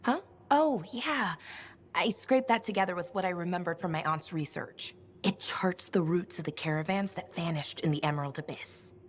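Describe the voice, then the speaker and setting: An actress playing a scrappy young woman adventurer in a video game, casual and defensive, with a husky voice. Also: fairly low pitch, normal speed, a little hiss or hum, natural voice. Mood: defensive, playful. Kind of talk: video game cutscene dialogue.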